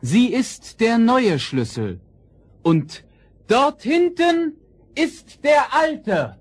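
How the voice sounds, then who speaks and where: A young fairy-tale prince, earnest and noble. His voice is high and lively in pitch, with deliberate, formal declamation suited to a children's radio play. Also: husky, dry recording, heavily acted. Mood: solemn.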